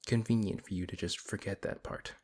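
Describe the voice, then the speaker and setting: A man playing a big, principled paladin in a fantasy audio roleplay, speaking in a stern, measured and dryly skeptical way. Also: lowish voice, fairly even intonation, a little mellow, husky. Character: big strong male paladin, principled, wary, reluctantly helpful. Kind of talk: ASMR audio roleplay acting.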